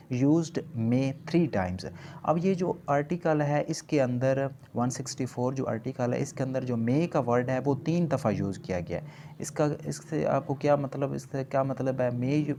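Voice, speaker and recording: Creaky-voiced Pakistani man lecturing. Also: medium pitch, warm tone, slight background noise. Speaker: law lecturer. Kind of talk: explanatory lecture mixing in English legal terms.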